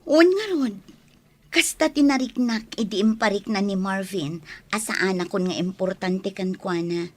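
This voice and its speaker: A woman's voice: mid-range voice, a little slow, natural voice. An actress with an Ilocano accent playing a sincere, devoted young single mother in a radio drama. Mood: reflective.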